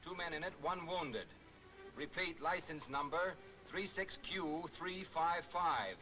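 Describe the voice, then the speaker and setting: An actor playing a brisk, gruff police detective in a 1940s film serial, clipped and commanding. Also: male voice, on the high side, natural voice, poor audio. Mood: urgent, businesslike. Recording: vintage 1940s film soundtrack, music behind.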